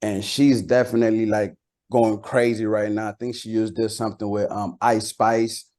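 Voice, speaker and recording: A man speaking as a rapper being interviewed, chatting casually in a slangy, halting way. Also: lowish voice, flat delivery, darkish tone. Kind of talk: casual chat.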